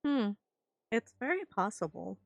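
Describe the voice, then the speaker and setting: A woman's voice: fairly high pitch, very animated, a little slow, creaky, no echo, dull audio, faint music behind. A woman live-streaming a game, chatting informally and thinking aloud as she plays.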